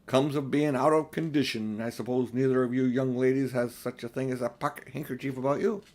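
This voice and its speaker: A man acting a huge, courteous, rough-spoken giant. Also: mid-range voice, steady tone, brisk, a little mellow, close to an everyday voice. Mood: puffed, apologetic. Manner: courteous, colloquial and deferential. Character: huge polite slow-witted giant, rough-spoken and obliging.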